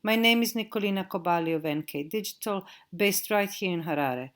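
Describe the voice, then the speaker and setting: A middle-aged woman from Croatia with a Croatian accent, giving a measured recorded lecture as a digital marketing trainer. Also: mid-range voice. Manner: measured, spelling out web addresses. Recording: audio-only recording.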